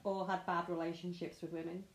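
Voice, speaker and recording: A woman's voice: lowish voice, little pitch movement, brisk, slight background noise. A young British woman hosting a casual true crime chat, chatty and giggly, often self-mocking. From Britain. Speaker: podcast host. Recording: echoey room.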